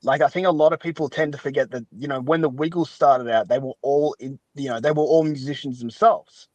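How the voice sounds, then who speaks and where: A man's voice: medium pitch, steady tone, brisk, darkish tone, dry recording. Casual, rambling man on a podcast. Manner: rambling, opinionated, frequently qualifies his points. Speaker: podcast guest. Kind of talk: casual chat.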